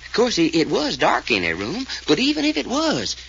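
Folksy high-voiced cowboy detective. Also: male voice, huge pitch swings, normal speed, creaky, a bit bright, full character voice. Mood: curious. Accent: American South-western. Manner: folksy, drawling, stammering when puzzled. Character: folksy drawling cowboy detective, Doc, earthy and puzzled. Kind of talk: 1940s radio serial acting. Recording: muffled, noisy old 1940s radio recording.

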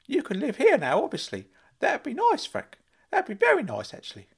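A man voicing an excitable, fussy retired uncle, eager and worried in turn. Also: moderate pace, vocal fry, slightly rough, exaggerated voice. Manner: high-pitched, eager and fussy. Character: excitable older uncle, retired, wearing a mask.

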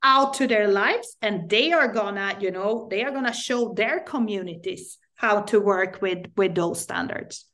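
A woman's voice: medium pitch, a little slow, few pauses, fairly bright tone. A woman from Sweden, a native Swedish speaker, talking earnestly as an interview guest and often repeating words while searching for them. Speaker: guest, parent and sex education advocate. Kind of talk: podcast interview.

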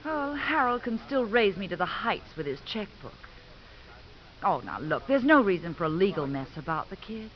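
An actress playing a brazen, scheming ex-wife in a 1950s radio drama. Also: female voice, medium pitch, sing-song, moderate pace, natural voice. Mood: coy. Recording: muffled, noisy 1950s radio recording.

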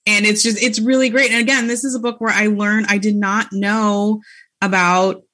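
A woman's voice: medium pitch, level tone, moderate pace, sharp tone. A woman author talking as a guest on a podcast, chatty and enthusiastic, with plenty of fillers. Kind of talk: casual podcast interview.

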